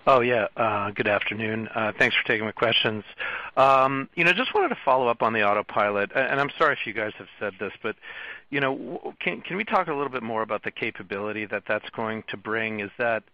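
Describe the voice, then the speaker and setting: Lowish-voiced man phoning in, muffled line. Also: animated, fairly quick. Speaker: analyst asking a question by phone. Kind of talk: polite unscripted question on an earnings call. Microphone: phone call. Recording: dull, muffled phone line audio.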